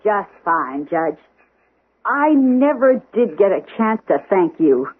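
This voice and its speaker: A woman's voice: medium pitch, very animated, takes it slowly, husky, heavily acted. A woman playing a grateful, earnest widow in an old-time radio drama. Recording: muffled, phone-like vintage radio recording.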